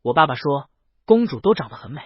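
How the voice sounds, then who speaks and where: A man playing a shy, gentle young dragon in an audio story, speaking in a meek, hesitant way. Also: a little high, sing-song, fast, breathy edge, no room sound, muffled recording. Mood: timid, puzzled. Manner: hesitant, meek, soft-spoken. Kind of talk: audio story character dialogue.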